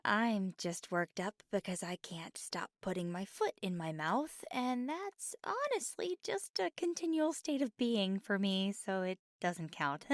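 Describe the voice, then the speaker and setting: A woman's voice: mid-range voice, sing-song, unhurried, no room sound, clean studio sound. Woman playing a stammering, nervous young water wielder. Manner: stammering, apologetic, flustered; drops into soft whispered asides. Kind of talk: ASMR roleplay.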